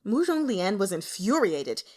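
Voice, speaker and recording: A woman's voice: medium pitch, sing-song, a little slow. A woman reading an audiobook, giving a dramatic scripted narration of a story. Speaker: audiobook reader.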